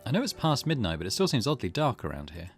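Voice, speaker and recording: A man with a fairly low voice hosts a gaming let's play. He moves between reading on-screen text aloud and casual, chatty commentary, thinking out loud. Faint music plays behind him. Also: wildly swooping pitch, fast. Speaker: gaming channel host. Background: game music.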